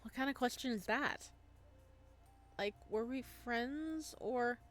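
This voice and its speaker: Woman acting young ghost man, visual novel, earnest, direct, searching, higher voice. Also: slow pace. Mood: confused, surprised. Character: young male ghost, searching, forgiving, without memories. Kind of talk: visual novel dialogue read aloud.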